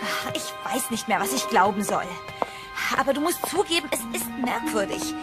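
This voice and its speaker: A woman's voice: on the high side, lively intonation, moderate pace, over loud music, close to an everyday voice. A woman playing a bold, determined investigator in a German-style horror radio play, acted for audio. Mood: puzzled, uneasy. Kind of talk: horror radio drama acting.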